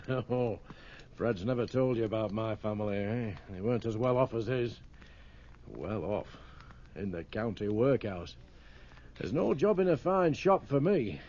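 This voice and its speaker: An actor playing a hearty, haunted English sergeant major back from India, middle-aged, blustering in a radio drama. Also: male voice, fairly low pitch, lively intonation, a little slow, darkish tone, a little hiss or hum. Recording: dull, lo-fi radio drama tape.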